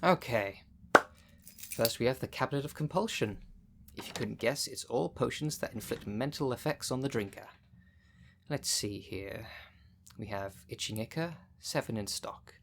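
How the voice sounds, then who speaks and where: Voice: medium pitch, expressive, moderate pace, gravelly, a little hiss or hum. A dry, bossy city witch, acted out in ASMR roleplay. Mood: matter-of-fact, dry. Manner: dry, matter-of-fact, casually bossy. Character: sardonic, brisk witch shopkeeper of a city potion shop, female. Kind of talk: ASMR roleplay, speaking directly to the listener.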